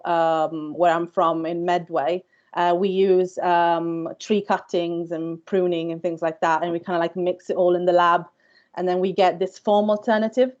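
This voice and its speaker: A woman's voice: fairly low pitch, a little mellow, lo-fi sound. A woman in her thirties from Italy with an Italian accent, a company founder giving a careers talk to students, thoughtful with many ums and uhs.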